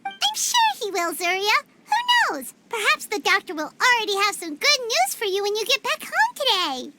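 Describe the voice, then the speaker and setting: A woman voicing a tiny, cheerful, boastful childlike fairy companion in a video game, squeaky and exclamatory. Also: huge pitch swings, moderate pace, very noisy, heavily acted. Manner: refers to self in third person, exclamatory. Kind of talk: video game cartoon-style voice acting.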